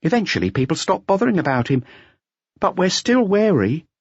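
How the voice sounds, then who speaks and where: A wary, gossiping local informant, acted by a male audiobook reader talks in a raised, animated voice. The recording is dry and slightly muffled. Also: high-pitched, brisk, slightly rough, no echo, pitched above the performer's own voice, heavily acted.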